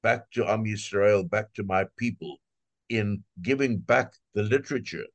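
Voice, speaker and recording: An older, retired man speaking earnestly as an interview guest, in a measured, halting way. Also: fairly low pitch, no echo. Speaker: translator, guest. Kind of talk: casual interview talk.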